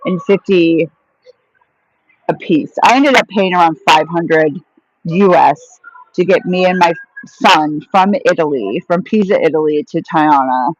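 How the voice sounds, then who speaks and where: A woman's voice: lowish voice, unhurried, muffled recording. A woman chatting casually on a live stream, answering viewer questions with a rambling, friendly flow. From United States. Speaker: vlogger answering live chat.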